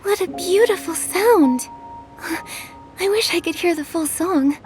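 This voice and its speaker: A woman's voice: very high voice, unhurried, a little mellow, soft background music. An actress playing a kind, encouraging young girl adventurer in a video game, speaking sweetly and considerately. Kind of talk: video game cutscene acting.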